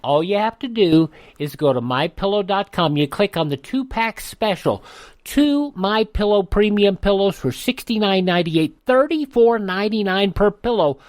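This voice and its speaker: An American man hosting radio, delivering a host-read sales pitch. He sounds folksy and salesy, recorded dry with no room sound. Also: a little mellow, no echo.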